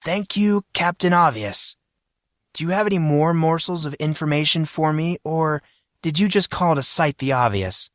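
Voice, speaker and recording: A man's voice: on the high side, big pitch swings, a little slow, fairly bright tone, husky, no room sound, clean studio sound, voice pushed up, heavily acted. A man voicing an irritable, sarcastic fourteen-year-old schoolboy in an exasperated manner for an audio story. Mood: sarcastic, annoyed.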